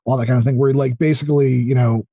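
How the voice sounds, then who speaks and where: A man in his thirties chatting casually as a podcast guest, explaining things enthusiastically in a halting way full of fillers. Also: mid-range voice, steady tone, vocal fry, warm tone, slightly rough. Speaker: podcast guest, audio drama creator. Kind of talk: casual interview chat.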